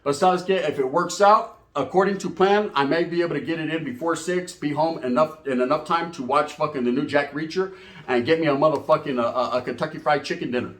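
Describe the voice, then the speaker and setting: A man tells a comedic story in a high, lively voice, heavy with slang, jumping from loud bursts down to low mutters. The room rings with echo around him. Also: fairly quick. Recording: echoey room.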